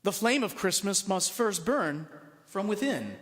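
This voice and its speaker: A man with a high, swooping voice reads a story aloud as a priest would to his congregation. His delivery is dramatic and deliberate, and the sound rings long through a large church. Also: big pitch swings, a bit bright. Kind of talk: expressive storybook narration to listeners. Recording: long reverberant hall.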